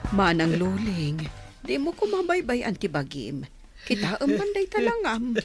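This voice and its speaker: Woman acting expressive, urging village woman. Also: a little high, unhurried, over music, exaggerated voice. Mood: consoling. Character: caring, expressive village woman, frank confidante. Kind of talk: radio drama acting.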